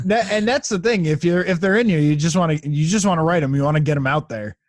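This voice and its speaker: A man's voice: on the high side, quick pace, no room sound. A man hosting a podcast, asking questions in a casual, encouraging way. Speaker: podcast host, interviewer. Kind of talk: casual interview chat.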